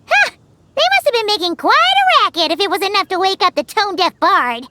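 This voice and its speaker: A woman voicing a small, cheeky, excitable fairy companion with a childlike, exclamatory way of talking in a video game. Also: very high-pitched, fairly quick, very noisy. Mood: cheeky, teasing. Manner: speaks of self in third person, exclamatory. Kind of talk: video game character dialogue.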